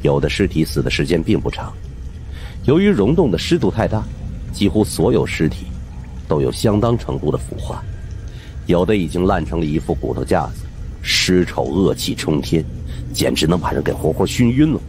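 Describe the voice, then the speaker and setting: A man narrating a suspense horror audiobook in an expressive, dramatic storytelling manner. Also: low voice, normal speed, slight background noise.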